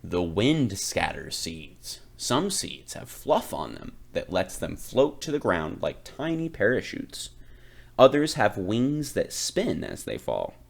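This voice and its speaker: A man reading a children's picture book aloud, phrasing it deliberately and with expressive emphasis. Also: low voice, gravelly. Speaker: read-aloud reader.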